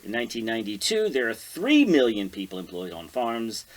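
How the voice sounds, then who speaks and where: A man's voice: lowish voice, huge pitch swings, fairly quick. An American man co-hosting a podcast, chatting casually over a beer. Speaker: podcast co-host.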